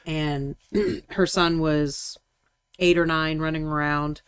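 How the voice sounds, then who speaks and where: A woman's voice: low voice, level tone, slow pace, clean tone. A woman in her mid forties co-hosting a painting stream, chatting casually in a wry, blunt, joking way. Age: 40s. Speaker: co-host painting miniatures on stream. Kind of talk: casual chat.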